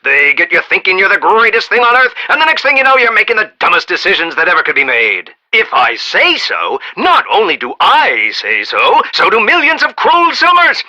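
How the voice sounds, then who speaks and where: An actor playing a proud, eccentric self-made American inventor tycoon in a video game, talking in a folksy, rambling, emphatic way. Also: male voice, high voice, huge pitch swings, normal speed, few pauses, bright, forceful tone, heavily acted, low-quality recording. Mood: jovial, blustery. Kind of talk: animated video game voice acting.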